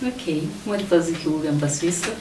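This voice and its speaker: A woman's voice: deep voice, fast talker, a little mellow. A woman hosting a casual, conversational interview and putting questions to a guest. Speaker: interviewer, host. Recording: roomy, distant mic, faint background noise.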